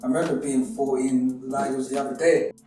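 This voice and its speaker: A man in his twenties, a football interview guest who talks reflectively with halting restarts. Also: mid-range voice, level tone. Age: 20s. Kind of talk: casual interview. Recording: roomy sound from a distant mic with faint music.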